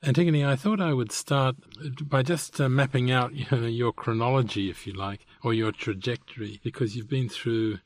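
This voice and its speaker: A man interviewing a guest, reading an introduction and asking measured, prompting questions. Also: medium pitch, no echo.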